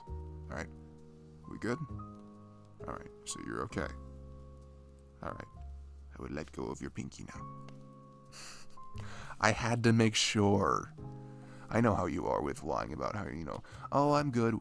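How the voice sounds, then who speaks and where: A man's voice: sits low, gravelly, fairly bright tone, heavily acted. A man playing a shy, dorky, caring best friend in an intimate roleplay ASMR, rambling and hesitant, with small stammers and apologies. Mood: playfully insistent, then caring, teasing. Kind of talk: intimate roleplay ASMR, mimicking casual one-sided chat.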